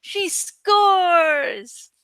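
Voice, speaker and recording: A brash teenage girl in a storybook, acted out by a woman reader. Her voice is high and bright, close and dry. She boasts with crowing emphasis. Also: lively intonation, drawn-out words, no echo, exaggerated voice. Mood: triumphant.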